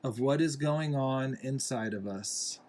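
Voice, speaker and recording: Slow-paced man reading a children's book. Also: medium pitch, background noise. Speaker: reader, parent reading to a young child. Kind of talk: read-aloud children's picture book narration.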